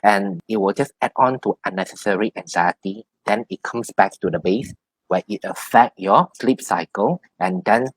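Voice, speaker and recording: A man giving spiritual advice as a tarot reader, explaining things haltingly and often repeating his phrases. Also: on the low side, slightly rough, dry recording, poor audio. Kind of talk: spiritual advice in a one-on-one reading.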